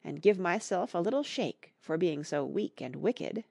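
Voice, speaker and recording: A patient, middle-aged mother from a classic storybook, acted by a woman reader. She gives tender, humble counsel with expressive intonation and a creaky edge. The recording is very dry. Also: fairly low pitch, no echo, full character voice. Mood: confessing, calm. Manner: soft, tender, measured moral counsel. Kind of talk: acted audiobook dialogue from a classic novel.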